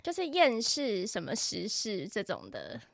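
A woman's voice: medium pitch, wildly swooping pitch, unhurried, creaky, no room sound, studio quality. A woman, a podcast guest in casual chat.

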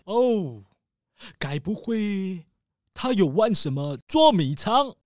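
Brief: theatrical rich tycoon father, acted by a performer, slow and exclaiming, soft music bed. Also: mid-range voice, sing-song. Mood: flustered. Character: rich excitable middle-aged male tycoon father. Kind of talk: children's audio drama.

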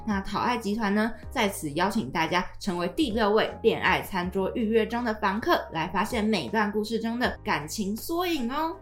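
中音女主持人，背景有音樂. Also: female voice, mid-range voice. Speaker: podcast host. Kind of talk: casual chat with scripted introduction.

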